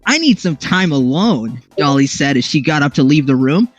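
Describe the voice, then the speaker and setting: A man's voice: a little high, big pitch swings, normal speed, no echo, faint music behind. A man reading a story aloud expressively, mixed with playful, sweary banter. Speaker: amateur fan fiction reader.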